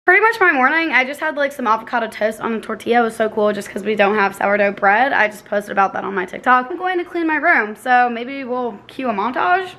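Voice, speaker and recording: A woman's voice: fairly high pitch, fast, few pauses, sharp tone. A young woman chatting casually to a handheld camera in her bedroom, bubbly and chatty, saying "like" often. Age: young adult. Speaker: vlogger. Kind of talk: casual vlog chat. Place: bedroom, indoors. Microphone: handheld camera at arm's length.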